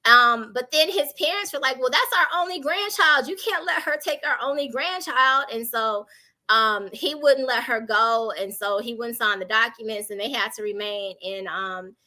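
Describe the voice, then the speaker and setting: An American woman giving candid conversational advice on a panel, animated and informal, illustrating points with anecdotes. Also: a little high, moderate pace. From Chicago, United States.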